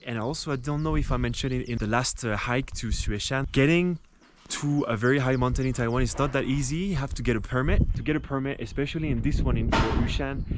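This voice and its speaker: A man hosting a casual travel vlog, upbeat and quick, laughing often, recorded on an action camera. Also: medium pitch, very noisy. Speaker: vlog host.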